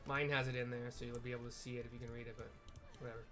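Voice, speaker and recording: A man narrates a video game in a casual, rambling way, explaining as he goes. His voice sits at an average pitch, and music plays clearly behind him. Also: flat delivery, rapid-fire, fairly bright tone. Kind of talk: casual gameplay commentary with visual description.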